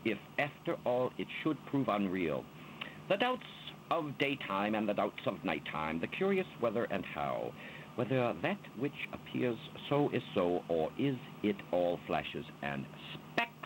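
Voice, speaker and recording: A man giving an expressive, dramatic poetry recitation in a theatrical manner, drawing out his words. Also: on the low side, unhurried, a little hiss or hum, rough recording. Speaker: reader of poetry.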